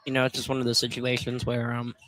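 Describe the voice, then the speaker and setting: A man giving casual analysis on a live college basketball radio broadcast, conversational and often halting as he searches for words. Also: mid-range voice, monotone, a bit bright. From northern, self-described Northerner. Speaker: basketball color commentator. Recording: noisy live sports broadcast with clicks.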